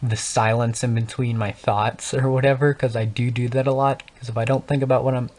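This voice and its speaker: A man's voice: medium pitch, flat delivery, a little fast, darkish tone. Male gaming host, unscripted, rambling, frequent stutters and restarts. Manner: stutters and stumbles over words; rambling, thinks aloud with many fillers. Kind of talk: unscripted casual talk over gameplay.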